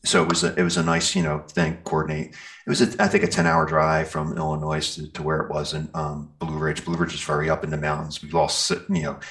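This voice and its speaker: Man, very low pitch, gravelly fry, casual interview guest, rambling halting storyteller. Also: a bit bright. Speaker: guest, metal band singer and guitarist. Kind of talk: casual interview chat, recounting festival gigs.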